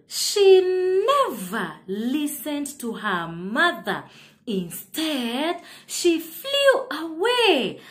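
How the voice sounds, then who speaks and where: A woman with a high, swooping voice hosts a lesson for preschoolers. She takes it extremely slowly, repeating herself and putting questions to the children in a sing-song storytelling manner. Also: a bit bright. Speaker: preschool teacher, host.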